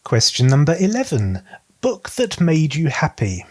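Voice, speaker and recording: A man's voice: fairly high pitch, wildly swooping pitch, measured pace, a little mellow. Wry, articulate man chatting about books. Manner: wry, self-deprecating humour. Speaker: book vlogger. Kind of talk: casual chatty book review to viewers.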